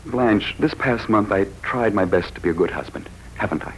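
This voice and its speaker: An actor playing a troubled, lovesick husband in a 1940s radio drama, on an old recording. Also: male voice, fairly low pitch, normal speed, slight background noise, close to an everyday voice, low-quality recording. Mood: pleading, troubled. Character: troubled, lovesick husband who regained his memory.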